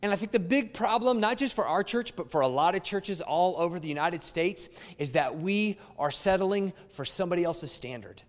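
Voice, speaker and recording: High-voiced male pastor in an echoing church. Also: expressive, moderate pace, few pauses. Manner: emphatic, repeats key phrases, conversational asides to congregation. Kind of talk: sermon. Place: church sanctuary.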